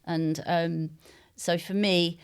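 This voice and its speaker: A mature woman being interviewed as a novelist, speaking reflectively and articulately, with hesitant pauses and softened phrasing. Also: lowish voice, fairly even intonation, clean tone. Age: middle-aged or older.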